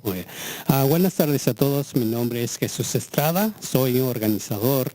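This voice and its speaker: A man's voice: mid-range voice, animated, brisk, dark tone, slight background noise, poor audio. A man whose first language is Mixteco, speaking as a community organizer giving public comment at a meeting.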